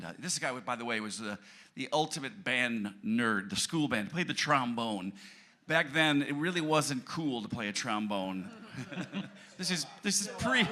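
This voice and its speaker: A man with a bright, forceful voice tells a self-mocking anecdote to a room on a cruise ship. He talks into a handheld mic and chuckles along with his own story. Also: medium pitch. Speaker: band member, lead singer. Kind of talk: storytelling on a panel. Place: cruise ship event room before an audience. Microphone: handheld microphone.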